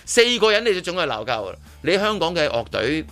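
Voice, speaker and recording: A man's voice: fairly high pitch, big pitch swings, fast, a bit bright, soft background music. A man with a Hong Kong Cantonese accent hosting casual radio chat, opinionated and emphatic, often repeating words. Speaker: radio show host.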